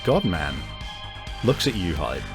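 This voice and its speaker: A man acting as game master, improvising narration for a tabletop horror game in halting descriptions. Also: low-pitched, big pitch swings, over music.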